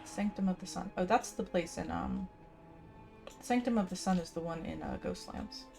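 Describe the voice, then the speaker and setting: A woman's voice: lowish voice, normal speed, gravelly, warm tone. A woman hosting a casual game playthrough, chatting and reading quest text aloud. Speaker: gaming video host. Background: game music.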